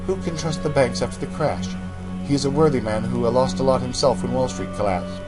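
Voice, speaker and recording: A man's voice: medium pitch, brisk. A man hosting a let's play, reading in-game journals aloud and making sarcastic asides. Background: music.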